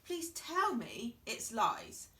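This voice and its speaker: A woman's voice: fairly high pitch, huge pitch swings, extremely slow, pushed and bright, far from the mic, heavily acted. A woman voicing an anxious, easily upset mother hen in a children's story, exclaiming dramatically and fretfully. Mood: tearful dismay.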